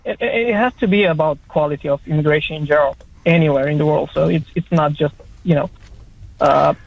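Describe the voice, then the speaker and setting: A man's voice: on the high side, fairly quick, creaky, no room sound. A man from Romania calling in to a radio show, friendly and hesitant, often repeating words as he talks. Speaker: caller. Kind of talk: phone-in call. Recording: tinny phone line.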